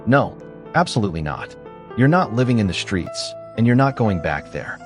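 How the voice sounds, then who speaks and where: Low-voiced, worried, protective young guy, a man acting. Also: sing-song, music in the background. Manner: earnest, halting then firm. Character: worried, protective teenage boy, rich bad boy. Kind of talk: dramatic roleplay story acting.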